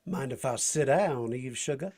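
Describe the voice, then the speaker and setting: An actor playing a folksy, easygoing cowboy sidekick with a Southern US drawl and husky voice, in a vintage radio drama. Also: male voice, medium pitch, moderate pace, a little mellow, natural voice. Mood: playful, easygoing. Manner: drawling folksy slang with chuckles. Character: folksy, easygoing Texan-style cowboy sidekick, tough but playful.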